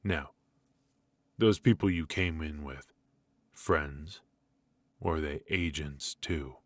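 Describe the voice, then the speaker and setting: Male mafia boss, acted by a man, extremely deep and husky, calm, controlling menace, intimate dry noir ASMR roleplay. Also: dry recording, full character voice. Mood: mock-courteous. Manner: measured, mock-courteous, quietly threatening. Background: faint music.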